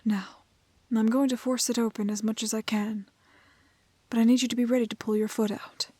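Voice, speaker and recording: A woman playing a prim, plucky, kind-hearted young woman in a fairy-tale roleplay, with soft voice and proper, old-fashioned phrasing. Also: fairly high pitch, flat delivery, brisk, husky, no echo. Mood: gentle, careful. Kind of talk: romantic fantasy roleplay audio acting.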